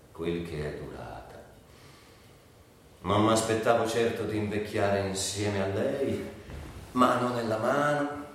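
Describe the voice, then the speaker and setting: Male stage monologuist, brooding and literary, widely varied pitch, recorded distant in a very echoey room. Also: a little hiss or hum. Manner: theatrical, brooding delivery. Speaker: actor performing a monologue.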